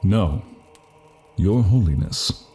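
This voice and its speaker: Low, mellow demon prince, acted by a man. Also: animated, slow pace, soft background music, exaggerated voice. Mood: earnest, revealing. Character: courteous, earnest demon crown prince in human guise. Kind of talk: audio drama acting.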